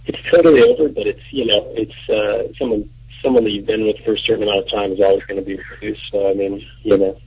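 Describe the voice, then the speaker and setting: A man in his thirties, a band member and producer, answering radio interview questions in a relaxed, rambling way with many fillers. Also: fairly low pitch, fast talker, husky. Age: 30s. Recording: muffled lo-fi radio recording.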